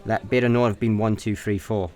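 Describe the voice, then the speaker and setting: A man's voice: fairly low pitch, level tone, fairly quick, warm tone, natural voice. A Scottish man playing a bumbling, chatty space layabout in a comedic radio play, rambling and exasperated. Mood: clueless, puzzled.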